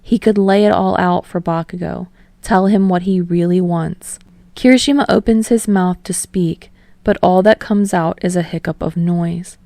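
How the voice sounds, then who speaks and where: A woman's voice: on the low side, fairly even intonation, normal speed, gravelly, mellow, no echo, studio quality. A woman reading a story aloud as scripted audiobook narration. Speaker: audiobook reader of fan fiction.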